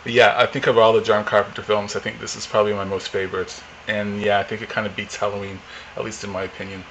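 Forties male vlogger, low monotone, loose rambling delivery, home camera recording with faint hiss. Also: fast talker, rough recording. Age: 40s. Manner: rambling, many fillers and restarts. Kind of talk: casual unboxing chat. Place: home living room, indoors.

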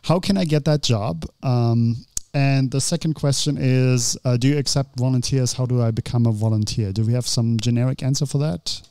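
A man's voice: mid-range voice, fairly even intonation, moderate pace, warm tone, clear, resonant tone, no echo, studio quality. A man in his thirties with a German accent, casually moderating an online panel into a handheld microphone. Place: indoors, on a video call.

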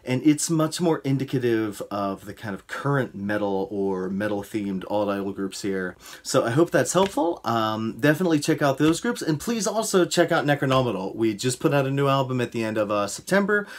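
A man's voice: medium pitch, moderate pace, few pauses. A man in his thirties, a music producer, casually recommending albums over a video call from a room. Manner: relaxed, conversational, uses fillers. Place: indoor room. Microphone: video call webcam.

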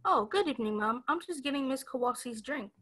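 A woman's voice: high-pitched, animated, brisk, a bit bright. A young woman acting in a radio play as a meek, deferential, worried serving girl. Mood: polite. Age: young adult.

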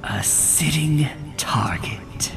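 Gloating cartoon cloud villain, gravelly half-whisper, extremely drawn-out, menacing. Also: mid-range voice, lively intonation. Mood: menacing, taunting. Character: sinister gloating storm cloud monster feeding on sadness. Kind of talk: comic dub villain acting. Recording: voice over background music.

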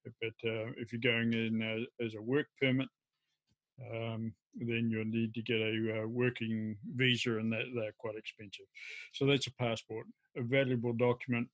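An older man, explaining informally to camera in a halting, hesitant way. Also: mid-range voice, level tone, dry recording, muffled recording. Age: older, around 70s. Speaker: amateur radio vlogger. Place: indoors at a desk.

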